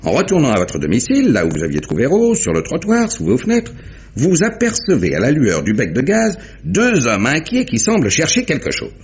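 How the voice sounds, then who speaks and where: An actor playing a genial, methodical police inspector, recapping deliberately in a theatrical mid-century French radio mystery manner. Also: male voice, mid-range voice, wildly swooping pitch. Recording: dull vintage radio drama recording.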